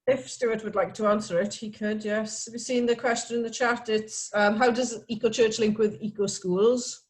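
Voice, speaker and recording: A woman's voice: on the high side, fairly even intonation, moderate pace. A woman hosting an online meeting over a video call, practical and friendly, with some fillers. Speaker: event host.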